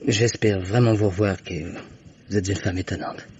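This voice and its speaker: An actor playing an anxious, honourable man on the run in a French radio mystery, husky and earnest, on a dull old recording. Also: fairly low pitch, fairly even intonation, moderate pace, a little mellow. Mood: restless, determined.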